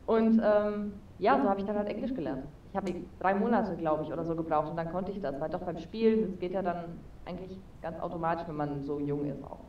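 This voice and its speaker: A woman's voice: on the low side, a little fast, hardly any pauses, echoey room, recorded from a distance, lo-fi sound, slight background noise. A young woman chatting as an interview guest over a video call with a headset, talking casually with lots of fillers. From Spain. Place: home room with neon sign, indoors.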